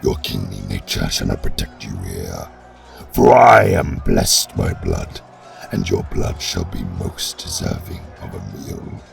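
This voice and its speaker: A man voicing a monstrous, bloodthirsty male giant who gloats in a slow, deep, gravelly growl, with faint music behind. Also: huge pitch swings, heavily acted. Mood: gloating. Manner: slow, menacing, savoring.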